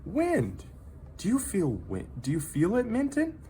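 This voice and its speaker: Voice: medium pitch, huge pitch swings, unhurried, dark tone, slight background noise, pitched above the performer's own voice. A reader playing a plaintive, lonely storybook boy. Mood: excited. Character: lonely, yearning boy who burns like fire. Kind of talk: children's storybook character voice.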